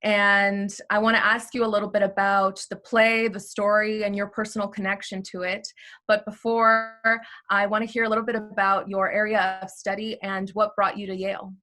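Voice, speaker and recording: A young woman hosts an interview with a bright, forward tone. Her pitch sits in the middle and moves little as she reads out prepared questions. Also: flat delivery, normal speed. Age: young adult. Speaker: interviewer, host.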